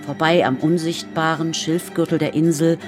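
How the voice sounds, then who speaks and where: An actress playing a composed, observant storyteller, narrating a poetic radio drama in a measured literary manner. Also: female voice, deep voice, steady tone, close to an everyday voice. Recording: studio radio drama with music. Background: prominent music with strings.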